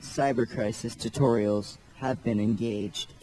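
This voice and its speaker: A man voicing a cyborg system announcer in a formal, flat, scripted way for a demo. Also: mid-range voice, measured pace, natural voice, rough recording. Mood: robotic, formal.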